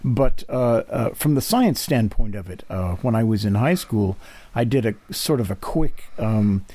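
Male interview host, soft-edged mellow tone, lively swooping pitch, conversational, halting explainer. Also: dry recording. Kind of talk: casual interview chat.

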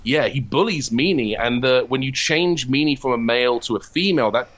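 A man's voice: mid-range voice, a little fast, fairly bright tone. Man reflecting in a conversational interview. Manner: reflective, explains at length with repeated false starts. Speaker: guest, comedy writer and voice actor.

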